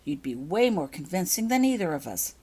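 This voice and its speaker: A woman's voice: lowish voice, sing-song, a little fast, warm tone, breathy edge. A bossy, practical older schoolgirl, read by a woman narrator in a storybook. She explains things firmly, with emphatic rises and falls. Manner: instructive, firm. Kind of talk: storybook character voice.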